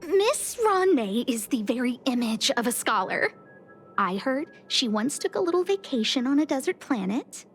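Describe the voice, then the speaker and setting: A woman's voice: high voice, sing-song, normal speed, husky, heavily acted. A woman voicing a polite, cheerful and helpful young space station staffer in a video game. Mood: admiring. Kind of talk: scripted video game voice acting. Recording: game dialogue with music behind.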